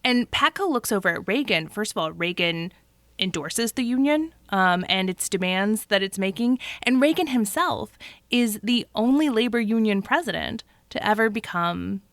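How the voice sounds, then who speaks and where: A woman's voice: medium pitch, animated, normal speed, no echo. Female podcast co-host, dry close sound, talks through events and checks in with "right?". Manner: explanatory, checks in with "right?", frequent ums. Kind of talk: conversational history podcast discussion.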